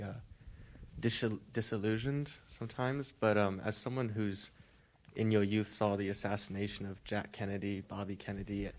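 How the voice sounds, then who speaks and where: A young man in the audience speaks up with an earnest, slightly halting question. His voice sits fairly low. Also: a little mellow. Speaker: audience member asking a question.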